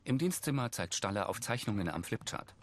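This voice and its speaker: A man's voice: fairly low pitch, expressive, a little fast, a little hiss or hum. A man reading scripted scene narration as an audio describer for a television crime series.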